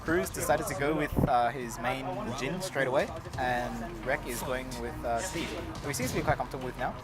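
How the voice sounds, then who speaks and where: A man of ordinary pitch co-commentates a tournament in a measured, analytical way, often hedging with "sort of". Loud music plays behind his voice. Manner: measured, explains game mechanics, many "sort of". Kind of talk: analytical fighting game commentary.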